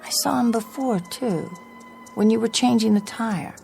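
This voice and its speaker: A woman's voice: fairly high pitch, normal speed, creaky, a little mellow, soft background music. An actress playing an anxious, haunted young woman traveling alone in a radio drama, speaking in a hesitant, polite, uneasy way. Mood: uneasy, puzzled.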